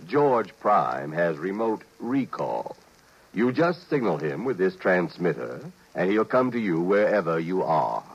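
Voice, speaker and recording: An unctuous, furtive American salesman in a 1950s radio play. His voice is low and rough, with swooping intonation and soothing, confidential patter. The vintage recording sounds dull. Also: sing-song, a little slow, exaggerated voice. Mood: businesslike. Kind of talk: 1950s radio drama acting.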